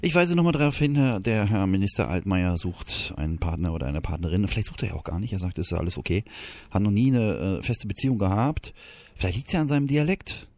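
A man's voice: lowish voice, animated, quick pace, darkish tone, no echo. A man hosting a radio show, bantering casually with his co-host and reading out news items with teasing asides.

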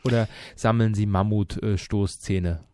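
A man co-hosting a radio show, making dry deadpan jokes in a hesitant way with many filler sounds. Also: on the low side, a little slow, clean tone, no echo. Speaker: radio co-host. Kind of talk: casual radio banter.

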